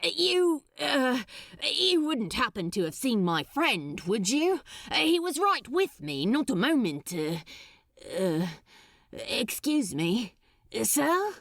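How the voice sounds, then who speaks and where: A woman's voice: on the high side, big pitch swings, takes it slowly, vocal fry, a bit bright, no room sound, full character voice. Woman putting on a flustered, stammering male demon. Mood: flustered, hesitant. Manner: hesitant, stammering. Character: flustered, anxious, politely awkward male demon.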